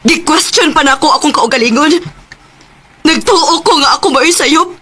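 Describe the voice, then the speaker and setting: A woman's voice: high-pitched, moderate pace, pushed and bright. An actress playing a hurt, betrayed young woman in a radio drama, with an emotional, anguished delivery. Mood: bitter. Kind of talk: Cebuano radio drama acting. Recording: noisy radio drama recording.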